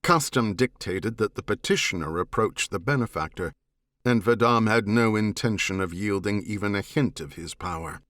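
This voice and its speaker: A man narrating a science fiction audiobook in a measured, serious and dramatic manner. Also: on the low side, fairly bright tone, no room sound, clean studio sound.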